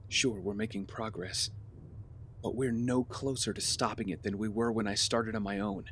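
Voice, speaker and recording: A man's voice: fairly low pitch, steady tone, moderate pace, fairly bright tone, natural voice. A man playing a brooding, disillusioned young vigilante in an audio drama, with a weary, earnest manner. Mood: weary doubt. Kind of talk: scripted audio drama acting. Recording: clear background noise with ambience.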